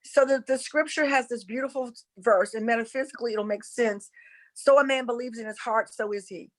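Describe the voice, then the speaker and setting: A woman's voice: fairly high pitch, a little fast, poor audio. A woman from North Carolina with a Southern accent, teaching about spirituality casually and earnestly, repeating phrases for emphasis. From North Carolina, USA.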